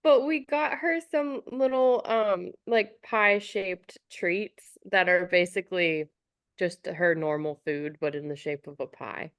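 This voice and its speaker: A woman's voice: on the low side, slow, smooth, dry recording. A woman chatting casually as a podcast co-host, chatty and giggly, with a slightly stuffy nose. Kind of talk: casual chat.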